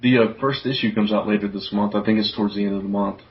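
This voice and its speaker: A dull, lo-fi recording of a man with a fairly low voice. He chats casually as a co-host in a loose podcast conversation. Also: fairly even intonation, fast talker. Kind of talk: casual chat about comics.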